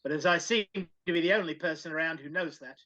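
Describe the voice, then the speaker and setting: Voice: medium pitch, quick pace, sharp tone, natural voice. A performer reading a stern, miserly businessman with a curt, scornful manner in a live dramatic reading over a video call. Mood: grudging. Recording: dull lo-fi video-call audio.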